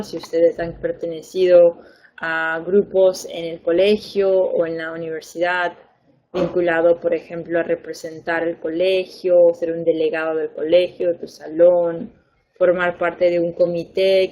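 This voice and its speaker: A young woman education advisor, a native Spanish speaker, giving a webinar lesson in a clear, patient way, stressing points by repeating words. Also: on the low side, little pitch movement, unhurried, a little mellow, highs cut off. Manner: clear, patient explaining with fillers and repeated emphasis.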